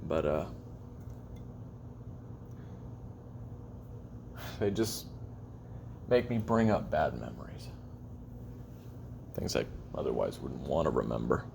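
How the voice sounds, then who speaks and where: A man playing a weary, lonely, bitter assassin recording a halting, confessional audio-drama memoir in a gravelly voice. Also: a bass voice, sing-song, darkish tone, a little hiss or hum, exaggerated voice. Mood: weary, reflective. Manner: halting, brooding, self-deprecating, trailing off. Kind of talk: confessional audio roleplay monologue.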